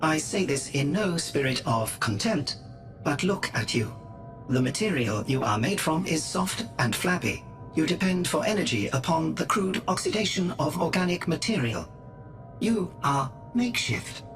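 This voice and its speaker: Robot prophet, highish voice, formal measured preaching, self-assured, coldly rational, radio drama, music behind. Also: male voice, very animated. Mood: coolly logical. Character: self-assured robot prophet, coolly logical, devout and superior.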